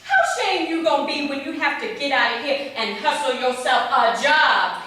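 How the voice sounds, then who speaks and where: Woman acting a very high-voiced, indignant aunt, echoey and distant. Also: lively intonation, normal speed, pushed and bright. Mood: scolding. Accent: American. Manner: folksy, emphatic, theatrical. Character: indignant, melodramatic aunt, a domestic maid scolding her nephew. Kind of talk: staged play reading. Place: staged reading in a reverberant room. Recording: echoey room, distant mic, noisy.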